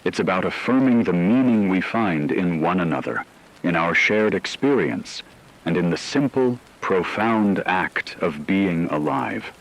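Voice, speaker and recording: A man playing a solemn radio broadcaster at the world's end, speaking in a measured, reflective way in a scripted radio drama. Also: low voice, animated, creaky, exaggerated voice, poor audio. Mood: solemn, serene.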